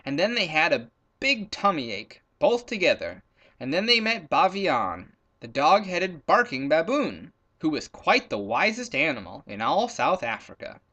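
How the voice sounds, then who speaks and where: A man's voice: fairly high pitch, very animated, unhurried, pushed and bright, dull audio. A man reading a children's story aloud expressively, with playful emphasis on rhythmic lists of words.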